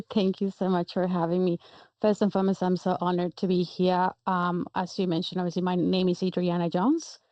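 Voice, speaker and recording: A woman's voice: on the low side, level tone, moderate pace, no room sound. A young woman from Guatemala, a guest in an interview, telling her story fluently. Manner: warm laughs, fluent storytelling. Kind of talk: interview answers. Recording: dull, dry sound.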